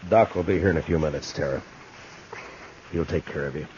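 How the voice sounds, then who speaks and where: An actor in a 1950s radio western playing a stern, steady Old West lawman, terse and plain-spoken. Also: very deep voice, a little fast. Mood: reassuring. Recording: muffled, faintly noisy 1950s radio recording.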